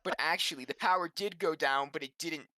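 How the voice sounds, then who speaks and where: A man's voice: fairly high pitch, a little fast, sharp tone, no room sound. A man playing a tabletop game, chatting casually as a player.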